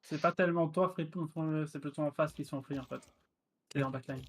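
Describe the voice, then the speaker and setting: A man's voice: on the high side, slight background noise. A man chatting casually with his teammates during an online strategy game, planning moves and calling them "mate". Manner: quick, relaxed, calls others "mec". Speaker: gamer. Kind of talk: casual team voice chat.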